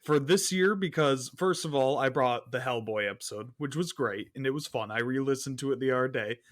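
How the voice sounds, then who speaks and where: A man's voice: mid-range voice, brisk. A man chatting casually as a podcast guest, telling stories by acting out exchanges. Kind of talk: casual chat.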